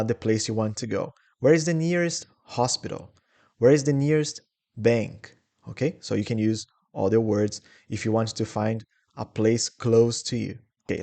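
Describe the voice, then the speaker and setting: A young man with a Brazilian Portuguese accent teaching English travel phrases slowly and clearly, repeating each model sentence. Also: medium pitch, darkish tone. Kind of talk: English lesson.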